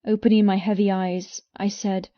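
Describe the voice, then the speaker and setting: A woman reading first-person romance fiction as an audiobook narrator, with intense, expressive delivery. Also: mid-range voice, moderate pace, dry recording, lo-fi sound.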